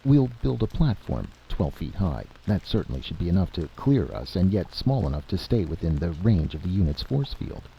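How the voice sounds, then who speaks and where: A man plays a practical, earnest inventor in an adventure story. He is plainspoken, with a low voice and lively swings in pitch, recorded with a dull sound. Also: normal speed, creaky, hardly any pauses, a little hiss or hum, full character voice. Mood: practical, resourceful. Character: Adams, inventive male time traveler, earnest and resourceful.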